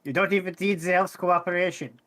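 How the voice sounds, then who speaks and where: A man voicing a blunt robot shopkeeper in a tabletop game, curt, deadpan and dismissive of organic beings. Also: high voice, brisk. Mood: impatient, scornful.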